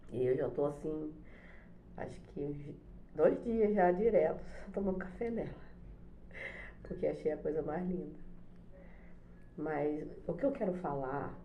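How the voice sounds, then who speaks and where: A middle-aged woman with a cold, hoarse, chatting softly and hesitantly on her home vlog with many fillers. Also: deep voice, roomy sound, a little hiss or hum. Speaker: channel host, special education teacher. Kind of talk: casual devotional vlog chat. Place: home, morning coffee.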